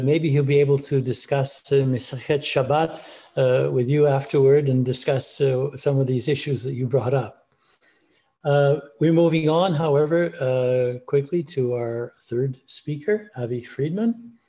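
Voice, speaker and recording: An older man moderating a panel on a video call, introducing the next speaker with frequent pauses and fillers. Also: medium pitch, dark tone. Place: home room. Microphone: video call webcam.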